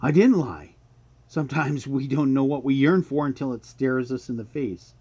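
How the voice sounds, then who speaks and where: An actor playing a sinister, knowing guide who coaxes people toward their inner monster in a radio mystery drama. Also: male voice, medium pitch, normal speed, mellow, husky, natural voice. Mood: persuasive, ominous. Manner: coaxing, knowing, theatrical. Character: smooth-talking sinister male guide to one's inner monster.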